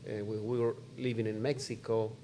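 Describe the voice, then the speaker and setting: Low-voiced man pastor, short emphatic phrases. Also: little pitch movement, brisk, dark tone, slight background noise. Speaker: pastor and guest. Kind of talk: casual conversational interview.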